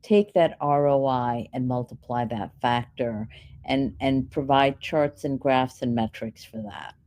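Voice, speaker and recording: A woman's voice: rumbling low, measured pace, darkish tone. A woman answering questions as a keynote speaker, explaining in an instructional, step-by-step way. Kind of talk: explanatory conference Q&A.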